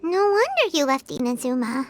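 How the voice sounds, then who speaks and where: An actress voicing a tiny, childlike fairy companion in a video game, cute, earnest and eager. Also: female voice, high voice, sing-song, moderate pace, over music. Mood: surprised, curious. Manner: cute, eager, speaks of herself in third person.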